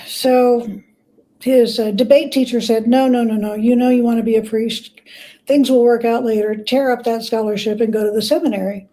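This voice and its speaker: A woman's voice: a little high, fairly even intonation, normal speed, dark tone, poor audio. A woman speaking as an interview guest, telling anecdotes conversationally and acting out bits of dialogue. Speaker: guest biographer.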